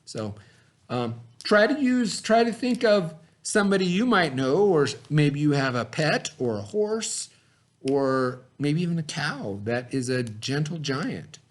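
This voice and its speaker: A man reading aloud and talking to children in simple, expressive phrasing, like a teacher. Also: on the high side, a little slow. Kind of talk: children's story read-aloud, then informal talk to children.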